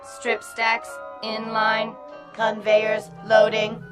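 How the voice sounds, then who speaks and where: Monotone, slow factory robot. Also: female voice, fairly high pitch. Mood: impassive. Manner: flat, clipped, mechanical. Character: emotionless, obedient female factory computer robot. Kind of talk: radio drama acting. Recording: radio drama with music underneath.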